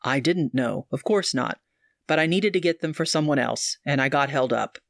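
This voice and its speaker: A woman voicing an earnest young male doctor who speaks low, grave and pleading. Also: normal speed, creaky, dry recording, studio quality. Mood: relieved.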